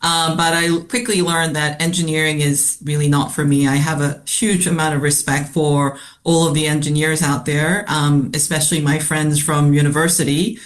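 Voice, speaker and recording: A woman from South Korea, a company CFO, answering interview questions in a measured way with frequent ums and uhs. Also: deep voice, flat delivery. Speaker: CFO guest. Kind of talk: podcast interview.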